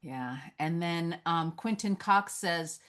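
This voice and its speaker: A woman with a slightly low voice moderates a discussion. She talks conversationally and reflectively, with an encouraging manner as she guides questions and relays comments. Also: slow pace. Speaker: moderator of a script-reading Q&A. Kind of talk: conversational Q&A hosting, reading out audience comments.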